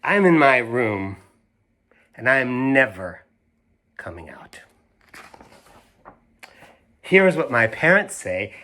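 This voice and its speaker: A man reading a storybook as an indignant, stubborn little girl, speaking emphatically and deliberately. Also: medium pitch, sing-song, sharp tone, exaggerated voice. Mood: sulky, defiant. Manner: emphatic, deliberate, self-righteous. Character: indignant, stubborn, dramatic little girl narrating her grievances.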